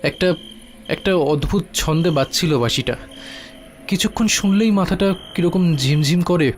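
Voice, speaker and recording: A man's voice: a little high, moderate pace, a little hiss or hum, lightly acted. A man playing an earnest, inquisitive young villager in a cartoon. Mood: curious, uneasy. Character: curious, uneasy village youth questioning an elder. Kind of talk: cartoon voice acting.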